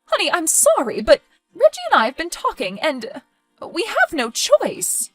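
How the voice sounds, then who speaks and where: Woman as high-pitched, overbearing melodramatic mother. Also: huge pitch swings, moderate pace, faint music behind, exaggerated voice. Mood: pained, firm. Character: overbearing, guilt-tripping, melodramatic middle-aged mother. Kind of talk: dramatic dialogue acting.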